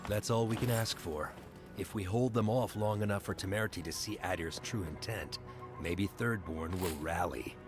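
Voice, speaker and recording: A deep-voiced male defender, as a man voices him, blunt and commanding as he holds his post in a video game. His voice sits very low, with clear music playing behind him. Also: normal speed, natural voice. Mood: firm. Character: gruff male fighter guarding a tower.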